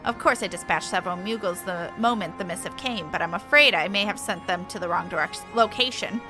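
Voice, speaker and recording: A woman's voice: lowish voice, a little fast, music in the background, close to an everyday voice, poor audio. A woman voicing a sly moogle foreman in a video game, with an affected, theatrical, mock-apologetic manner. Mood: mock remorse. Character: sly moogle foreman feigning innocence and remorse.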